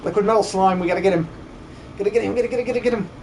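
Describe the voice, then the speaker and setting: A man's voice: sits high, very fast, a little mellow, slight background noise, poor audio. A man giving goofy, playful commentary on a game stream in a sing-song way. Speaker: streamer and amateur voice actor.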